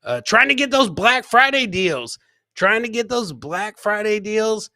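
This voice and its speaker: Bright, high-pitched man hosting. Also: expressive, a little slow, no echo, studio quality. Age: middle-aged. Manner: repeats his phrases, swears casually. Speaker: podcast host and comedian. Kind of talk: casual livestream banter, reading out chat comments. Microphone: close boom-arm microphone with headset.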